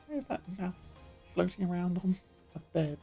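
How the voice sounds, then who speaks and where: A woman's voice: on the low side, expressive, moderate pace, dark tone, breathy edge, faint music behind. A woman streamer chatting playfully and casually while playing a game, laughing easily. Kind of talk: casual let's-play commentary.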